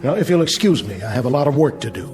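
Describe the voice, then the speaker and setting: A man's voice: medium pitch, very animated, quick pace, a little mellow, lightly acted. Man acting as army general, curt, skeptical, authoritative, very varied intonation, radio drama, music underneath. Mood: brusque, dismissive. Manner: brusque, dry, authoritative.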